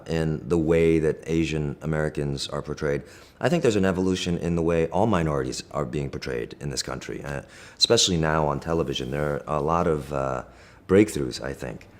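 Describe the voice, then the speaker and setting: Middle-aged man, native Korean speaker, extremely low voice, measured interview answers with pauses and restarts. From Korea, raised in Pennsylvania. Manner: thoughtful, measured, many fillers and restarts.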